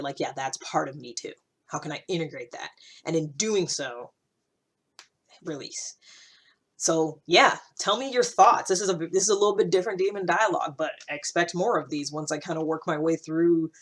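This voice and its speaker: A woman's voice: deep voice, moderate pace, a bit bright. A woman in her thirties hosting a vlog, talking in a casual, reflective monologue with a rambling, conversational, self-interrupting manner. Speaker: vlog host.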